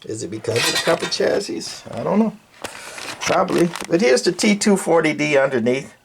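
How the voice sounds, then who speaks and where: Older male hobbyist presenter, gravelly fry in the voice, off-the-cuff and folksy, faint noise behind him. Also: on the high side, big pitch swings, fairly quick. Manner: rambling, colloquial, folksy. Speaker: hobbyist radio repairer and video presenter. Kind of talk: casual unscripted bench walkthrough.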